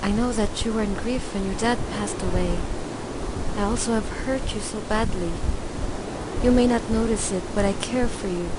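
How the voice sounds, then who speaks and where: A woman's voice: mid-range voice, normal speed, lightly acted. An actress playing a grieving, remorseful mother who speaks in an emotional, pleading way in a student radio drama. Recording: loud hiss, amateur recording.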